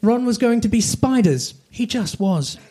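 A man's voice: very high-pitched, lively intonation, a little slow. A man reading comic fiction aloud like a storybook, with dramatic delivery. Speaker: reader of comic fan fiction. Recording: faint background noise and clicks.

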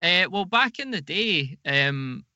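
A man giving casual, explanatory interview answers as a podcast guest, speaking in a measured way with some hesitation. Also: sits high, very animated, bright, forceful tone, dry recording, lo-fi sound. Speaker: podcast guest, audio drama producer.

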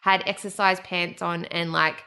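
Bright-voiced woman podcast host, lower pitch. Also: flat delivery, a little slow, gravelly. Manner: candid, emotional storytelling. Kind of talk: casual chat.

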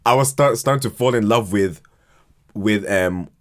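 A man chatting casually as a podcast guest, haltingly, repeating words as he thinks. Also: on the low side, faint music behind. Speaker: podcast guest, fiction podcast creator. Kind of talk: casual conversational interview.

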